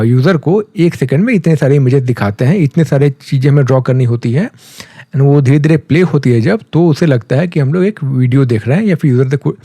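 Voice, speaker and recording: A man with an Indian accent giving a casual, conversational software tutorial, explaining step by step and mixing in English terms. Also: fairly high pitch, dry recording, clean studio sound. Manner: conversational, mixes English terms, often checks in with a tag phrase.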